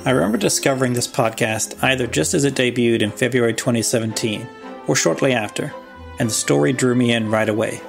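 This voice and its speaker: A man's voice: medium pitch, fairly quick, creaky. A man hosting a podcast, reading a scripted show promo and credits. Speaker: podcast host. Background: music.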